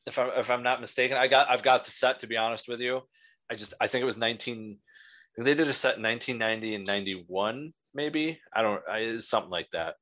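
Bright-voiced male interviewer, chatty and hesitant. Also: mid-range voice, fast talker. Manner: chatty fan, hesitant with many fillers. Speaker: podcast host. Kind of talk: casual interview.

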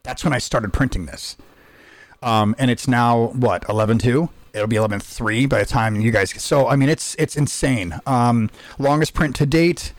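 A man co-hosting a podcast in casual chat, hoarse from losing his voice, rambling with frequent restarts. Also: medium pitch, moderate pace, no echo. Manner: rambling, lots of restarts and fillers. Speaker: podcast co-host.

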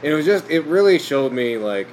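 A man chatting as a podcast guest, rambling with lots of fillers and quick laughs. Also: on the high side, sing-song, a little mellow, slight background noise, low-quality recording. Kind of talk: casual chat.

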